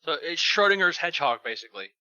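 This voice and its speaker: A man's voice: a little high, expressive, quick pace, pushed and bright, no room sound, lo-fi sound. A man joking in a group read-along chat, with a wisecracking way of talking. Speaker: reader on a bad fanfiction show.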